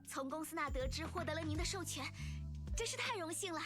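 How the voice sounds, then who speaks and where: A woman's voice: sits high, animated, fast talker, a bit bright, faint music behind. A woman voice-acting a playful, earnest young female star singer in a video game. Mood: earnest, admiring.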